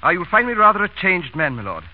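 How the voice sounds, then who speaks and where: A flustered, formal English valet. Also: male voice, high voice, very animated, fast. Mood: hesitant pride. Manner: formal, hesitant, stammering, very polite. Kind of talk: 1940s radio comedy acting. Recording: muffled, hissy old radio broadcast.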